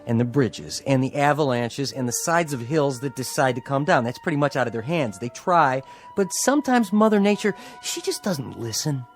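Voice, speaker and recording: A man acting as a stubborn, down-to-earth bus driver with a mid-pitched voice. He talks in a blunt, folksy way, radio drama style, with faint music underneath. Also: lively intonation, normal speed, natural voice. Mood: firm, placating.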